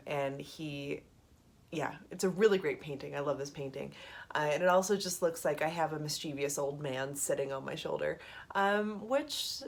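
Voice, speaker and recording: A woman in her thirties with a head cold, chatting casually to camera from a small apartment studio. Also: on the low side. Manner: chatty, rambling, with many ums and little laughs. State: congested from a cold. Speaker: knitting podcast host.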